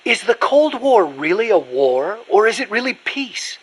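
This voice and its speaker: An actor playing an earnest, talkative American male nuclear scientist in a video game, explaining things in an animated manner. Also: high-pitched, unhurried, husky, exaggerated voice. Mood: nostalgic, reflective.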